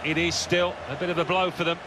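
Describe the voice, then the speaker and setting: A man acting as a former player co-commentating on football in a game. He sounds sympathetic, a little high in pitch, with clear noise behind. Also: fairly even intonation, fast, natural voice. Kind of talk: live sports commentary.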